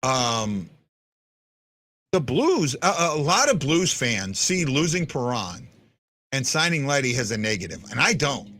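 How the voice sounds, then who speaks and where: A man in his fifties chats casually to viewers as the host of a sports fan show. His pitch is average for a man and he speaks bluntly, often doubling back on his own phrases. The sound is dry, with little room around it. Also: pushed and bright, no room sound. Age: 50s. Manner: blunt, repeats himself. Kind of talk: casual livestream chat answering viewers' comments.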